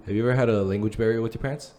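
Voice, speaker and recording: A man co-hosting a casual podcast, quick with jokes and banter. He talks fast, and his voice sits on the low side. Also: steady tone, slight background noise. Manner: joking, bursts into loud laughter. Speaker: podcast co-host. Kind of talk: casual chat.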